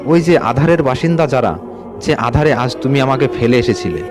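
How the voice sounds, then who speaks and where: A man's voice: mid-range voice, lively intonation, normal speed, music in the background, lightly acted. A man voicing an undead husband in a horror story, clinging, reproachful and menacing. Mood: ominous.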